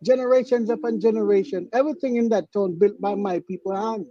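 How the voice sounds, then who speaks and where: A man with a Jamaican accent and a strikingly high, mellow voice. He argues insistently in a live online debate and repeats his claims with pride. His sound is dry and muffled, like lo-fi call audio. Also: a little fast, no room sound. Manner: insistent, proud, repeats himself.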